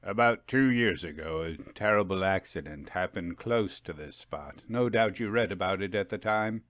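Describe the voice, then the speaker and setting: A man voicing a genial gentleman in an old story, with keen, confiding, old-fashioned speech. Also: deep voice, moderate pace, no echo. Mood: grave, explanatory.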